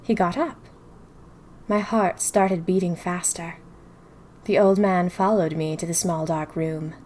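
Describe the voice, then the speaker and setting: Casting: female actor as dramatic, curious young woman of the twenties telling her diary, expressive audio-drama storytelling. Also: fairly low pitch, measured pace, a little hiss or hum, close to an everyday voice. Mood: scheming, determined. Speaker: audio fiction narrator. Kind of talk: first-person diary narration in a fiction podcast.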